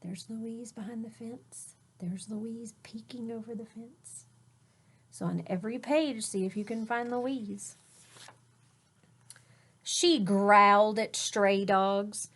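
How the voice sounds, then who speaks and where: A woman's voice: a little high, steady tone, slow pace, fairly bright tone, breathy edge. A woman teacher reading a picture book aloud to children, explaining words and pointing out the pictures, sometimes dropping to a whisper.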